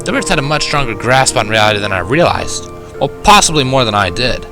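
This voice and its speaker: A man's voice: medium pitch, sing-song, brisk, over music. A man reading a first-person story aloud in a wry, conversational way. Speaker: audiobook reader of fan fiction.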